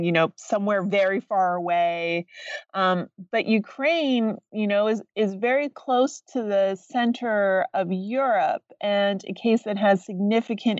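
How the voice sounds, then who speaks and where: A woman professor answering interview questions in a thoughtful, measured way, pausing often with fillers. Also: mid-range voice, no room sound. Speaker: guest author, professor. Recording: distant dull lo-fi remote audio.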